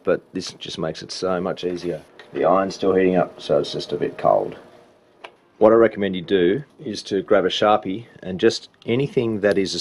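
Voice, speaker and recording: A man's voice: low voice, darkish tone, slight background noise. A man giving an informal, step-by-step repair walkthrough at a workbench. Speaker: repair hobbyist demonstrating a belt change. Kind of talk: casual hands-on repair walkthrough.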